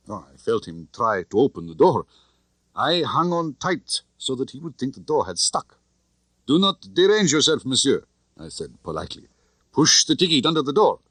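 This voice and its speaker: A male narrator puts on a big, tough spy chief for an audiobook, cheerful and sure of himself. He talks expansively and theatrically, with pitch that swings widely, recorded close and dry. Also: a bit bright, no echo. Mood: gleeful, sly.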